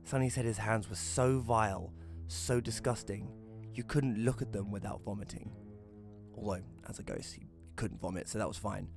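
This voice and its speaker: Audio drama narrator, conversational and wry storyteller, lowish voice male performer, light music underneath. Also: moderate pace, natural voice. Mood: wry, matter-of-fact. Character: Rory, casual storyteller befriending a ghost. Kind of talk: scripted first-person narration.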